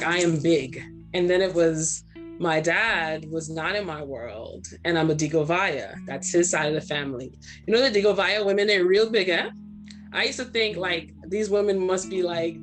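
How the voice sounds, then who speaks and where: A woman in her forties from Brooklyn and Trinidad, speaking candidly and conversationally about herself as a panel guest, often checking in with "right?". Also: fairly low pitch. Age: 40s. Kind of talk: candid personal storytelling in panel discussion.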